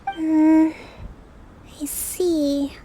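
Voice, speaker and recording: An actress playing a gentle, dutiful young female leader in a video game, speaking in a polite, composed way. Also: high voice, level tone, extremely slow, slight background noise. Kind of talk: scripted video game dialogue.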